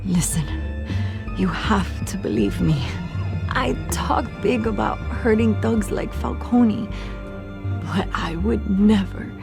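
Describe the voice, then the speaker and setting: Loud music plays under a dazed policewoman in a video game. She speaks slowly with a creaky edge at a middling pitch, halting and pleading, weighed down by guilt. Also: animated. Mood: shaken, pleading. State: dazed, groggy. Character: shaken, guilt-ridden drugged policewoman, a sergeant.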